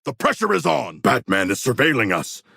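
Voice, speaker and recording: An actor voicing a hulking, proud humanoid shark warrior in a video game, with formal, stilted diction, earnest grandiose declarations and a gravelly, husky voice. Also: male voice, mid-range voice, huge pitch swings, moderate pace, pushed and bright, no echo, clean studio sound, full character voice. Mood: determined, commanding. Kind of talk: video game character dialogue.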